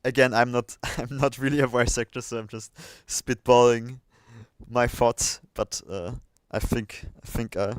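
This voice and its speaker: A man's voice: medium pitch, fairly even intonation, a little slow, clean tone, no echo. A man hosting a casual livestream chat, thinking aloud and hesitating as he goes. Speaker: livestream host.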